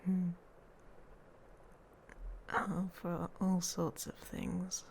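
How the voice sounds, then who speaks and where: A woman playing a guarded, hot-tempered young werewolf in an ASMR roleplay, speaking in breathy half-whispers with a hesitant, dryly blunt manner. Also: on the low side, level tone, extremely slow, darkish tone, heavily acted. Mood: quiet, confiding. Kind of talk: ASMR roleplay audio story, intimate monologue. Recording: faint background noise.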